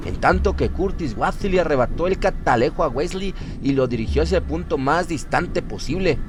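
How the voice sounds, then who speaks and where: A man narrating a scripted horror story for radio in a dramatic style. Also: mid-range voice. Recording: clear ambient noise behind voice. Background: ambient sound effects bed.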